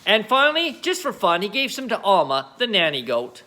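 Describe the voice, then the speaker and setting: A man reading a children's book aloud as an expressive narrator. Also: high-pitched, fairly quick. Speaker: storybook reader.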